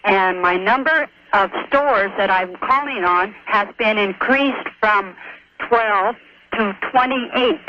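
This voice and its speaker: A man calling a radio show, explaining a problem in a measured, hesitant, precise way over the telephone. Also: high-pitched, pushed and bright. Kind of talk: explaining a work problem by phone. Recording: muffled noisy phone line on radio.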